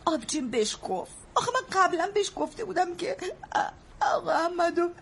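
An actress playing a frightened young woman who confesses her guilt in halting, tearful bursts. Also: very high voice, sing-song, creaky, a bit bright, slight background noise, full character voice. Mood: confessing. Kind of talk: radio drama acting.

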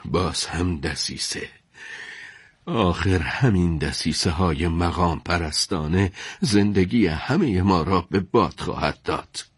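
A man's voice: on the low side, big pitch swings, dry recording. A man playing an anxious, wise judge in a dramatised historical story, grave, formal and deferential in manner. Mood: worried. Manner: grave, formal, worried delivery.

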